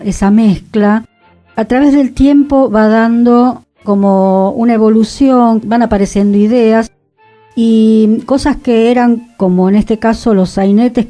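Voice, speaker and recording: A woman, the director and co-host of a radio show, talking in a thoughtful, unhurried way. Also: mid-range voice, mellow. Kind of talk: relaxed radio conversation.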